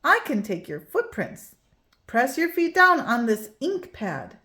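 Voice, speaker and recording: A woman's voice: high-pitched, huge pitch swings, slow, pushed and bright, exaggerated voice. A woman voicing a curious, eager schoolboy in a children's storybook, friendly in tone.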